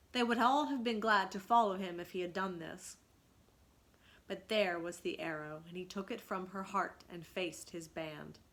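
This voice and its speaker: A woman's voice: mid-range voice, fairly bright tone. A woman reading a children's story aloud dramatically, in a teacherly way that invites listeners to think.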